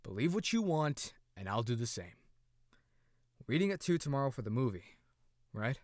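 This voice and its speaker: A man's voice: mid-range voice, very animated, normal speed, no echo, studio quality, close to an everyday voice. A man voicing an irritable, stubborn teenage boy in an audiobook drama. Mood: irritated.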